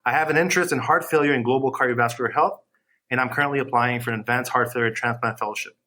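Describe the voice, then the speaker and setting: Male doctor from Haiti, fast, bright tone. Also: medium pitch. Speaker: cardiology fellow. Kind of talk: self-introduction.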